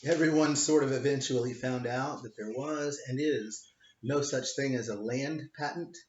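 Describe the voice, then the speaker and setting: A man's voice: medium pitch, moderate pace, darkish tone. Wry man reading aloud in an echoey room. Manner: wry, offhand, emphatic on punchlines. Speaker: foreclosure defense lawyer reading his own book. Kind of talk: reading his book aloud with offhand asides. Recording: roomy, dull lo-fi home recording.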